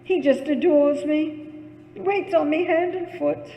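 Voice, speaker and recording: A woman's voice: high voice, fairly even intonation, measured pace, warm tone. A woman playing an anxious, demanding bedridden wife in a suspense radio play, fretful and imperious, stammering when rattled. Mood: dismissive, uneasy. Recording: echoey hall with long reverb.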